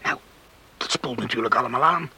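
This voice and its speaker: An actor playing an eager, earnest young farmer in a radio drama. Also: male voice, medium pitch, fairly quick, bright, forceful tone, slightly rough, full character voice. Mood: eager, coaxing. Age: young adult. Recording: hissy old radio recording.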